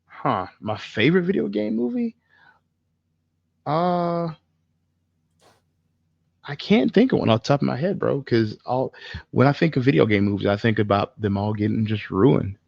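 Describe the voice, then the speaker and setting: A man's voice: mid-range voice, animated, moderate pace, vocal fry, pauses often, a little mellow, no echo, muffled recording. A man co-hosting a podcast, chatting casually in a blunt, opinionated way. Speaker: podcast co-host. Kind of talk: casual chat.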